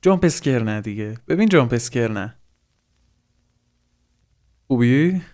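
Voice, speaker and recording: A man's voice: medium pitch, expressive, a little mellow. A man gives casual reaction commentary as a host, swearing informally and dropping in English slang.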